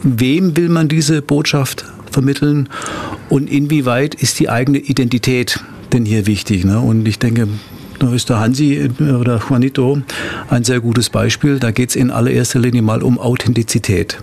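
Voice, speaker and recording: A man's voice: medium pitch, steady tone, normal speed, gravelly, a little mellow, slight background noise. A man talking reflectively as a musician guest, often adding little questioning tags. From Swabia, southern Germany. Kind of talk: reflective interview talk.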